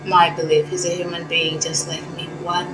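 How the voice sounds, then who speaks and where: A young woman from Rwanda telling her life story in a soft, earnest, reflective way. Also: on the low side, flat delivery, moderate pace, noisy background. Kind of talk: personal testimony. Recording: roomy and distant, music underneath.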